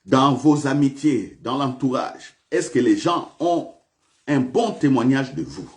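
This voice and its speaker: A man's voice: mid-range voice, unhurried. A male pastor preaching a sermon in a church, repeating questions to the congregation with big swings of emphasis.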